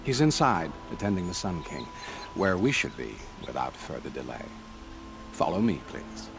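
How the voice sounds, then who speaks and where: A man playing a courtly royal advisor in a video game, speaking in a formal, wry, measured way. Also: low-pitched, big pitch swings, creaky, music in the background, full character voice. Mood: courteous. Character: smooth, courtly male spymaster advisor to a king. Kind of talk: video game cutscene acting.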